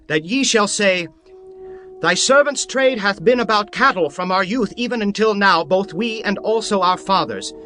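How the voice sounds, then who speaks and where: A man's voice: high voice, sharp tone. A man voicing a powerful governor who speaks firmly and instructively. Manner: firm, instructive. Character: powerful governor, authoritative younger brother. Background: faint music.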